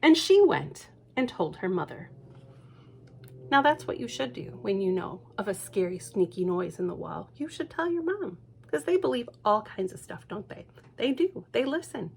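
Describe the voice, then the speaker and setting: A woman librarian reading a picture book aloud to children in a gentle, hushed manner, with whispered asides. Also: mid-range voice, sing-song, normal speed, creaky, breathy edge. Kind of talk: storytime read-aloud for children.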